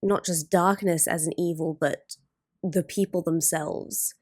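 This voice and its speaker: A woman hosting a reaction video with casual, off-the-cuff commentary, halting as she thinks aloud. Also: lowish voice, fairly even intonation, a little mellow, no room sound, studio quality.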